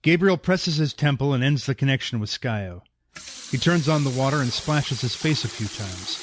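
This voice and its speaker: A man's voice: mid-range voice, animated, normal speed, music in the background, lightly acted. A man acting as the composed narrator of a superhero audio drama, reading scripted present-tense description. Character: composed male storyteller narrating a superhero tale.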